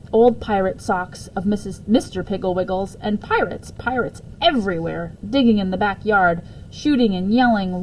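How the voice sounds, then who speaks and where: A woman reading a children's book aloud as storyteller, expressive in her narration. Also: mid-range voice, rough recording. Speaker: story reader. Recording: faint background noise.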